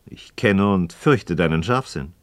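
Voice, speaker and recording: An actor playing an inquisitive, skeptical but courteous friend in a radio drama, speaking in a deliberate, questioning way. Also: male voice, sits low, animated, no echo. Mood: probing, skeptical. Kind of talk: scripted philosophical dialogue in German radio drama.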